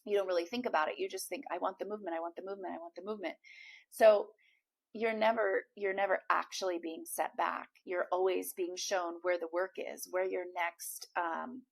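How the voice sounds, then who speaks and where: A woman speaks as a manifestation coach straight to her viewer, encouraging and chatty. Her voice is slightly hoarse and froggy, with a gravelly fry running through it. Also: lowish voice, steady tone, fairly quick. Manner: encouraging, addresses viewer directly with fillers. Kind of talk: direct-to-camera coaching talk.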